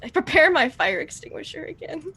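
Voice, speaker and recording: A woman playing a tabletop roleplaying game, chatting casually with an excitable, sweary manner. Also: sits high, brisk, slight background noise.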